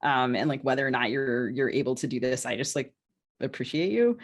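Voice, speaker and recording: A woman's voice: a bass voice, brisk. A woman novelist co-hosting a podcast, talking casually in a hesitant, discursive way with plenty of "like".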